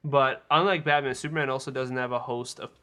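A man with a bright, forceful tone at a medium pitch. He chats casually as a podcast co-host, offering opinions on pop culture. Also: steady tone, brisk. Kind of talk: casual opinionated film and comics chat.

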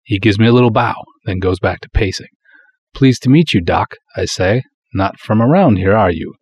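A man's voice: on the low side, normal speed, darkish tone, husky, muffled recording. A man playing a proud, folksy small-town cop who narrates his own pulp detective story in breezy, wisecracking, colloquial style. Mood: breezy, wry. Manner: breezy, colloquial, hard-boiled wisecracking delivery. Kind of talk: dramatic first-person pulp story narration.

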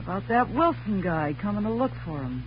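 An actress playing a tough, sultry, scheming gangster's moll with American slang in 1940s radio crime drama style. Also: female voice, mid-range voice, very animated, brisk, lightly acted. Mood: bossy. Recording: muffled, noisy old radio recording with hum.

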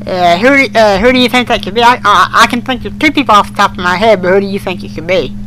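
High, bright-voiced man on a podcast. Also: fast talker, slight background noise, low-quality recording. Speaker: podcast co-host. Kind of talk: casual fan podcast chat.

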